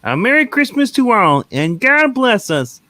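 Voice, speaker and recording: A man's voice: very high voice, sing-song, measured pace, bright, forceful tone, no echo, clean studio sound, heavily acted. A man playing a kindly, affectionate, genial family father in a radio play reading. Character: Bob Cratchit, kindly, gentle family father.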